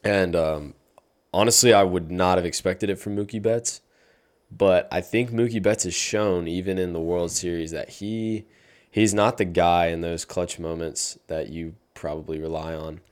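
A man's voice: deep voice, fairly even intonation, normal speed. A young man co-hosting a casual sports podcast, talking with hype and enthusiasm. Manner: enthusiastic, hypes up segments. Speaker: podcast co-host.

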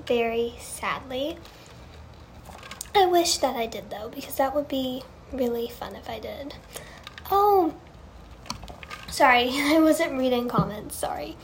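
High-voiced young-sounding woman chatting casually. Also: animated, unhurried, a little hiss or hum. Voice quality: high, girlish speaking voice. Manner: gushing, earnest, repeats herself. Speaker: singer answering fan questions. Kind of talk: casual livestream Q&A chat.

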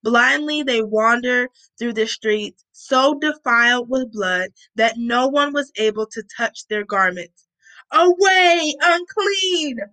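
A woman with a bright, slightly high voice reads scripture aloud at an unhurried pace. She is informal about it, breaking off for casual asides and correcting herself as she goes. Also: poor audio. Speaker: host reading scripture aloud with commentary. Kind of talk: Bible reading with casual asides.

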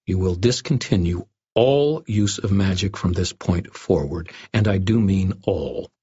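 A man voicing a stern, wry investigator wizard in an audiobook, speaking in a quiet, measured and threatening way. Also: low voice, husky, lo-fi sound, heavily acted. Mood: stern warning. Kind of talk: audiobook first-person dialogue.

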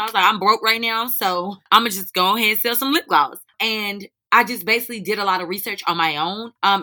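Raspy young woman with a North Carolina accent. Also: medium pitch, sharp tone. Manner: candid, informal, slangy. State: slightly hoarse. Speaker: guest, teacher and business owner. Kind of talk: casual interview answers.

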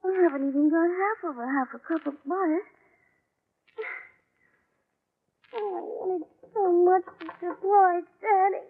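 A woman's voice: extremely high pitch, normal speed. A woman voicing an earnest, anxious young child in a plaintive, innocent way, in a 1940s radio drama. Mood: tearful, worried. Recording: muffled vintage radio broadcast recording.

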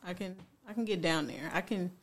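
A woman with a deep voice, co-hosting a podcast and chatting in a teasing, blunt, slangy way. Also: fairly quick. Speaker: podcast co-host. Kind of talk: casual chat.

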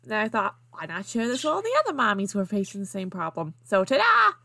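A woman's voice: mid-range voice, wildly swooping pitch, quick pace, creaky, sharp tone, no echo, studio quality, heavily acted. A woman voicing a gushing, wholesome mother and author with a Southern US accent, reading her note in a sugary, earnest way. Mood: gushing, excited.

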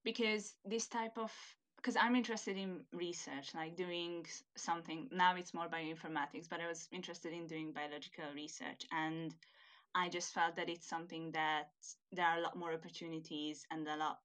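A woman's voice: lowish voice, fairly even intonation, moderate pace, a bit bright. A young woman from Hungary, a native Hungarian speaker, chatting casually in English as an interviewee, laughing often and searching for words. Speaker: interviewee, student. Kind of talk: casual conversation.

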